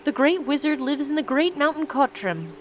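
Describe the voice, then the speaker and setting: A courteous fantasy king voiced by a woman, patient and instructive, explaining things grandly. The delivery is lively in pitch, in a storybook game style. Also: moderate pace, slight background noise.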